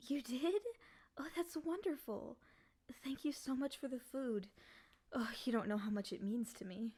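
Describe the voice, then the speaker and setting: A woman voicing a lonely, clingy female demon in a soft, often whispery voice, coaxing and pleading in an intimate ASMR roleplay. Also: sits high, moderate pace. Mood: grateful.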